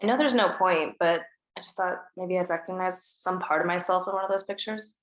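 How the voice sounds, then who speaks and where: A woman reading a grieving teenage girl at a table read, soft and subdued. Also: fairly low pitch, brisk, echoey room, natural voice, rough recording. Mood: subdued grief.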